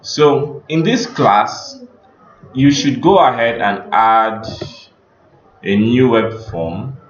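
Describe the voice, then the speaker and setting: A man giving a screen-share coding tutorial as a teacher, explaining step by step in a halting, instructional way. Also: mid-range voice. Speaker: computer teacher. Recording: muffled with background noise.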